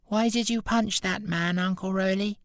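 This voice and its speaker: A man's voice: high voice, fairly even intonation, normal speed, a bit bright, no room sound, pitched above the performer's own voice. Man voicing a small, shaky little boy. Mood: puzzled, upset. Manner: shaky, childlike questioning. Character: small frightened boy, nephew, tearful and trusting.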